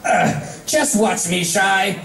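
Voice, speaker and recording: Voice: mid-range voice, lively intonation, takes it slowly, creaky, fairly bright tone, faint music behind, voice pushed up, heavily acted. A person voicing a brash, impatient young female pegasus pony who pushes and coaches, in a story reading. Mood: impatient coaching. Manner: pushy, exasperated. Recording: echoey room, distant mic.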